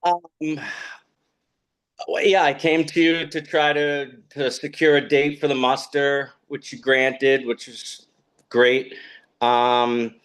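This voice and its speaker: A man's voice: mid-range voice, little pitch movement, fairly bright tone, clear, resonant tone. A man in his fifties talks over a remote connection, and his voice comes through clean and resonant. He is hesitant and keeps starting over, leaning on fillers as he explains himself to a committee. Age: 50s. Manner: halting, many fillers and restarts. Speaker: committee applicant. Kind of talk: remote meeting conversation. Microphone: remote call audio.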